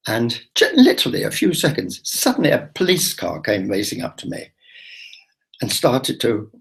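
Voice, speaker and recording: A man's voice: medium pitch, big pitch swings, normal speed, vocal fry, breathy edge. A man telling a rambling, confiding travel-diary story, full of restarts and asides. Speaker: travel vlogger.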